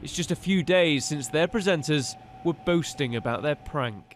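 A man's voice: fairly high pitch, normal speed, a little hiss or hum. A man delivering a scripted news report as a television reporter.

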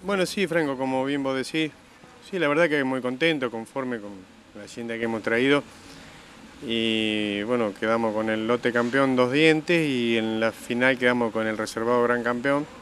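A middle-aged man with an Argentine accent answers interview questions spontaneously. His pitch is average, and a handheld microphone catches a little ambient noise from the show. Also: fairly even intonation, moderate pace, a bit bright. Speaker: sheep breeder interviewee.

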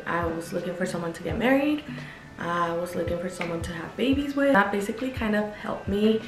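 Chatty girl in her 20s, says like a lot, roomy bedroom sound, soft music behind. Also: lowish voice, animated, brisk. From Guatemala. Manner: chatty, upbeat, lots of "like" and "you know". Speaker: YouTuber. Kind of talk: casual Q&A vlog. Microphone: camera at medium distance. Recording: echoey room, faint music.

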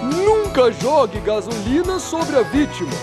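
Deadpan male narrator, high and lively, music bed. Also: unhurried. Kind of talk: parody instructional voice-over. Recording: voice-over over loud music.

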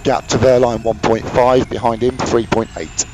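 A man's voice: medium pitch, flat delivery, normal speed. A man working as a race engineer, giving encouraging, repeated instructions over team radio. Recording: noisy race radio, vehicle noise behind.

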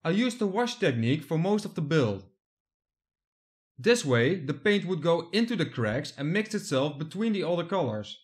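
High-voiced young man narrating a build. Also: very animated, moderate pace. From Netherlands. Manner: plain, step-by-step explaining. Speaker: hobby builder narrating his project. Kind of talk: scripted voice-over narration.

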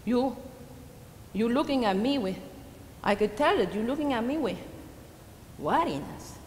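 A woman performing a theatrical monologue as an old, proud and sorrowful Dominican immigrant widow with a Dominican Spanish accent, confiding her story hesitantly with emphatic swells. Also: a little high. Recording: roomy reverberant hall with background noise.

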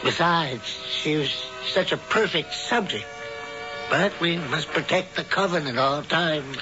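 A man's voice: on the high side, measured pace, natural voice. Man acting a polite, cold-blooded male witch. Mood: secretive, calm. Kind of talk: 1970s radio drama acting. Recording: muffled 1970s radio broadcast, music behind.

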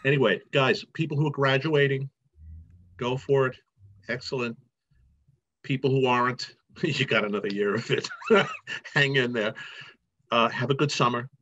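A man's voice: mid-range voice, steady tone, moderate pace, long pauses, fairly bright tone. A middle-aged man chatting casually as an advisor, encouraging and joking, on a video call. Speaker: faculty advisor. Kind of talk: casual chat. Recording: muffled video call audio.

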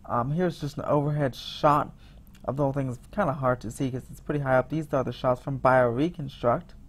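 A man giving a casual voice-over about construction photos, rambling with many restarts and fillers, sounding excited. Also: mid-range voice, fairly quick, slightly rough. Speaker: theme park vlogger.

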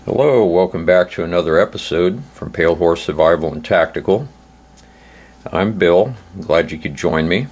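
A man with a hoarse, laryngitis-affected voice giving an informal instructional narration, halting and pausing often as he explains. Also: low voice, darkish tone. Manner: halting, hesitant delivery with frequent pauses. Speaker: host of a survival channel.

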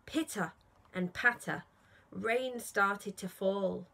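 A woman in her thirties reading a bedtime story aloud to children, sing-song and expressive, stressing key words. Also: mid-range voice, vocal fry. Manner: slow, sing-song rhyming delivery with emphasis on key words.

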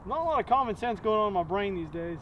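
Young Texan male vlog host, high voice, jokey and rambling, outdoor camera mic. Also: fast talker, highs cut off. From Texas. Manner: excitable, jokey, stumbling restarts. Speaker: fishing channel host. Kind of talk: casual vlog talk to camera. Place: outdoors on a rural gravel lot.

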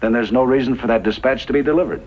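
Clear-- husky scheming western outlaw boss played by a man. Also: medium pitch, racing, darkish tone, close to an everyday voice. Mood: calculating. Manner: commanding, calculating. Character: bossy scheming gunrunner gang leader in the Old West. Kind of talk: 1950s radio western acting. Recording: muffled vintage radio recording.

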